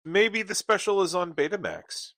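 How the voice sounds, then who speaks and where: Man acting wry, lively, sardonic best friend. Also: sits high, moderate pace, no room sound, heavily acted. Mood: skeptical. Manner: dry, wisecracking. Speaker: actor in a radio comedy play. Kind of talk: scripted radio play comedy dialogue.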